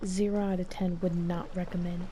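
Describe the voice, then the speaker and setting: A woman streamer giving playful, theatrical gameplay commentary with deadpan joking, game music behind her. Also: on the low side, moderate pace. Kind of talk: playful live gameplay commentary with improvised dramatics.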